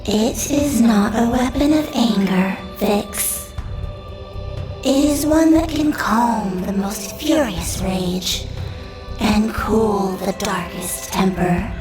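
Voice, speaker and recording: A woman's voice: medium pitch, takes it slowly, lightly acted. A woman playing a gracious, solemn elven throne ruler, speaking formally and ceremonially in a fantasy audio drama. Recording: roomy echo, music underneath.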